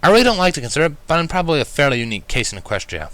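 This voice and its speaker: A man's voice: fairly high pitch, big pitch swings, racing, fairly bright tone, no room sound, pitched above the performer's own voice. A man voicing a whiny, self-doubting male pony in a fan fiction reading, with a hesitant, resigned manner. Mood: unsure. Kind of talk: fan fiction storybook character voice.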